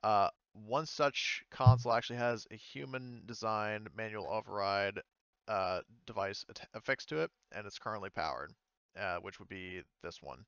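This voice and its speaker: Male game master, low and clean-voiced, informal tabletop narration on a close, slightly dull mic. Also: moderate pace, fairly bright tone, clean tone, no room sound. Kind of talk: casual game narration with read-out descriptions.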